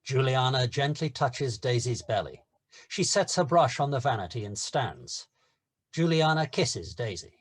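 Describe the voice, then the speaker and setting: A man's voice: medium pitch, very animated, unhurried, breathy edge. A man giving scripted narration, reading stage directions at a screenplay table read.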